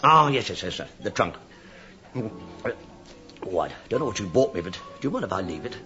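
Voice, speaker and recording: A man's voice: fairly low pitch, very animated, fairly quick, sharp tone, muffled recording, faint music behind. A man playing a sly police inspector in a radio drama, rambling with mock absent-mindedness and teasing insinuation.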